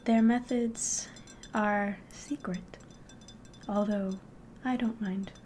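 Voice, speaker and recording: A woman playing a friendly, curious innkeeper in an ASMR roleplay, speaking softly and politely, often slipping into a whisper. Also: mid-range voice, fairly even intonation, a little hiss or hum, lightly acted. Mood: hospitable, gently chatty. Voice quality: soft, breathy, often whispering. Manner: gentle, unhurried, polite, hospitable. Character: friendly, curious female innkeeper of a fantasy tavern.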